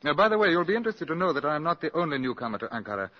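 A man playing a shrewd, courteous British counter-espionage agent in a 1950s radio drama, probing politely with deliberate hesitations. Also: a little high, sing-song. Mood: probing, suave. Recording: muffled, reverberant 1950s radio broadcast.

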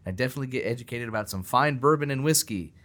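A man co-hosting a podcast, talking casually and plugging the show. His voice sits at a middle pitch. He sounds loose and conversational. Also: expressive, rapid-fire, a bit bright. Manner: chatty, reads listener review aloud. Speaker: podcast co-host. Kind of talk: casual chat.

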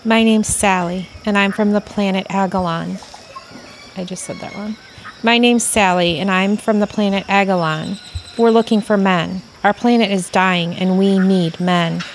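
An actress plays a female alien officer in an audio play, composed and a little superior. She speaks deliberately at a middle pitch. Faint background noise sits behind her. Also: steady tone, lightly acted. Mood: solemn.

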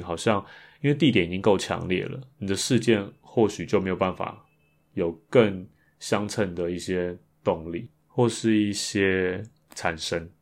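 A man with a Taiwanese Mandarin accent talks casually and reflectively as a solo podcast host. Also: deep voice.

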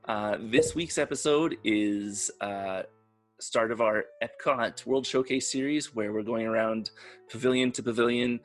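A man's voice: medium pitch, unhurried. Canadian man hosting a podcast over music. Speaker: podcast host. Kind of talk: scripted intro and podcast interview.

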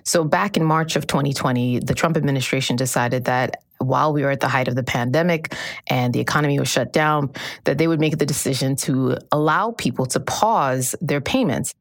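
A woman reporter with a low voice explains her findings in a clear, measured way, the sound dry and close. Her delivery is steady and informative. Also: no echo. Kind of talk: explaining in a news podcast interview.

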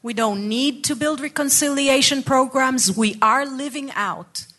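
A woman's voice: sits high, measured pace, pushed and bright. A woman in her fifties from Israel, speaking reflectively and conversationally as a guest on a panel, sharing personal testimony. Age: 50s. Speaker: ministry program worker, panel guest.

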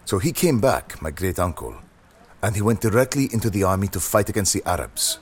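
A man voicing a soft-spoken older man recounting a painful family history. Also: fairly low pitch, animated, fairly quick, husky. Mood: somber.

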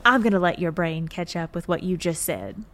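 A woman's voice: fairly low pitch, moderate pace, fairly bright tone, natural voice. A woman playing a stubborn, teasing moonbase worker in a naturalistic audio drama, colloquial and feisty. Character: wisecracking, stubborn, gruffly fond injured female moonbase worker.

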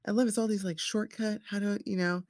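A woman in her forties, a parent who works in scrubs, chatting casually in halting speech with many restarts. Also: medium pitch, steady tone, a little mellow. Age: 40s. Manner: halting, restarts often, says "like" and "you know". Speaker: healthcare worker and parent. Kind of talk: casual chat.

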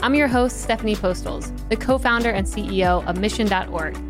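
A woman's voice: mid-range voice, brisk, music in the background. A woman hosting a business interview podcast, reading her intro and ad in an upbeat, polished way on a close studio mic. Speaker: podcast host.

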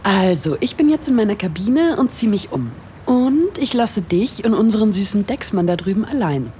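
Actress as a teasing young friend, ambient noise. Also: female voice, mid-range voice, big pitch swings, normal speed, mellow, natural voice. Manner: casual, playful, teasing. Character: teasing, cheeky young woman, heroine's best friend. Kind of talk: conversational audio drama dialogue.

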